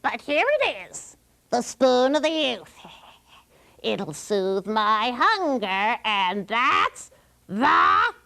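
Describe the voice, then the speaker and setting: A woman's voice: on the high side, very animated, gravelly, sharp tone, pitched above the performer's own voice, heavily acted. A woman voicing a greedy old witch who cackles and draws out her words. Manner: cackling, drawn-out, creepy.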